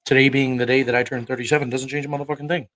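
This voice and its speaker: A man's voice: mid-range voice, level tone, racing, fairly bright tone. A man in his thirties preaching on a livestream, arguing with chat in a combative, profane way, firing rhetorical questions. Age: 30s. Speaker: online preacher. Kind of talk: livestream talk answering chat.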